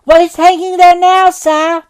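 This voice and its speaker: A man's voice: extremely high pitch, level tone, unhurried, voice pushed up. A man voicing an eager, cheeky young street boy who answers in chirpy replies.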